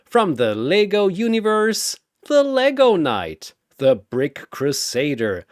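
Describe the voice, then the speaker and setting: A man's voice: high-pitched, wildly swooping pitch, slow pace, no room sound, studio quality, voice pushed up, full character voice. A man voicing a flamboyant four-armed godlike arena announcer, a sardonic showman with a grandiose, theatrical delivery. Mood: booming, hyped. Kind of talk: ring-announcer fighter introductions.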